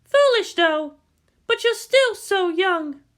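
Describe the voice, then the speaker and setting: High, teasing tipsy young woman. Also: expressive, slow, fairly bright tone, exaggerated voice. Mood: teasing, amused. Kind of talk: voiced character in anime fan fiction reading.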